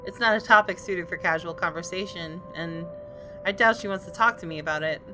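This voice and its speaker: Casting: female gaming host reading story narration, slightly low voice, clear game music behind her. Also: brisk. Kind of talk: reading visual novel narration aloud with casual asides.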